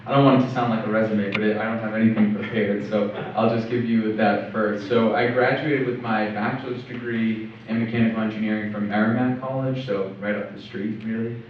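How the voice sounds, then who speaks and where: A man's voice: on the low side, steady tone, slight background noise. Upbeat young man, echoey distant panel mic. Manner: upbeat, quick, says right a lot. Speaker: engineering panelist, professor. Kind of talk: panel talk to students. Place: college cafe panel. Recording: roomy, distant, dull.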